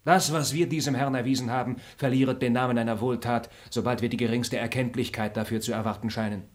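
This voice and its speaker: An actor playing a noble, high-minded male traveller who speaks formally and moralizes in a radio drama. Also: steady tone, fast, natural voice. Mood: lofty.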